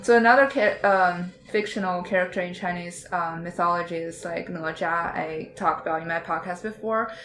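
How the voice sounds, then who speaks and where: In an echoey room with faint noise behind her, a woman joins a casual podcast discussion. She speaks in a slightly low voice and hesitates often as she searches for words. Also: a bit bright. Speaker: podcast panelist.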